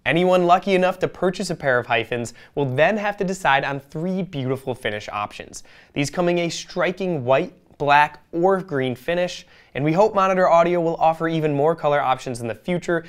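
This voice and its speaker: A man in his thirties narrates a scripted product review as its presenter. His delivery is polished and emphatic, setting out each point clearly for the viewer. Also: fairly high pitch, moderate pace. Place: indoor studio, seated at a table.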